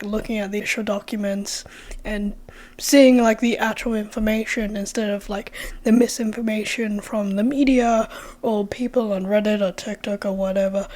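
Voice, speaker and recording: A young woman vlogger talking casually and chattily, hoarse and losing her voice after a cold, full of fillers and the odd swear. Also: medium pitch, darkish tone. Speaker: true-crime vlogger. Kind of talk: casual opinion vlog.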